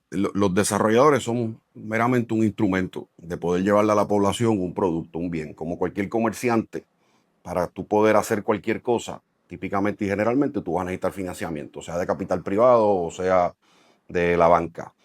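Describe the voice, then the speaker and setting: A man's voice: low voice, fairly quick, no echo, studio quality. A middle-aged Puerto Rican man with a Puerto Rican Spanish accent, a developer answering interview questions in a thoughtful way, with many fillers and restarts. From Puerto Rico. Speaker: real estate developer, company president.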